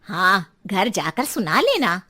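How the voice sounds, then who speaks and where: A woman plays a practical female neighbour in a cartoon tale. Her forceful, very lively voice sounds chiding and doubtful. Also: mid-range voice, slow, exaggerated voice. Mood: exasperated. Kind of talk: Hindi cartoon story acting.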